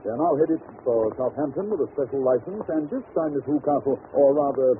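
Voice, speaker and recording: An actor playing a brisk, commanding, shrewd detective in an old-time radio drama, theatrical and crisply authoritative. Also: male voice, medium pitch, animated, close to an everyday voice. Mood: explanatory, wry. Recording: tinny, noisy vintage radio recording.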